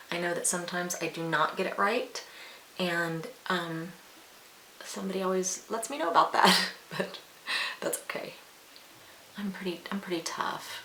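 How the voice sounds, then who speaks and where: A woman's voice: on the low side, sharp tone. A woman in her forties chatting casually and candidly to viewers as a beauty vlogger, rambling with asides. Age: 40s. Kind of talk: casual chat to viewers while applying makeup. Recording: roomy echo with faint hiss.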